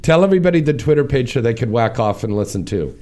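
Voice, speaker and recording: A man's voice: medium pitch, lively intonation, quick pace, mellow. A man hosting a radio talk show, brash, crude and joking with his guests. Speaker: radio host. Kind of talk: casual chat.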